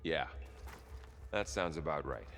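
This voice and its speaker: An actor playing a low-key, curious male companion to an explorer in a video game, with a wry touch. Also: lively intonation, measured pace, a bit bright, long reverb tail, slight background noise, heavily acted.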